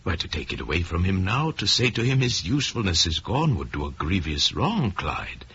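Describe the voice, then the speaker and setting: A man playing a kindly, fatherly village priest who speaks gently and earnestly in an old-time radio drama. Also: low voice, big pitch swings, normal speed, breathy edge, highs cut off, full character voice. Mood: grave, pleading.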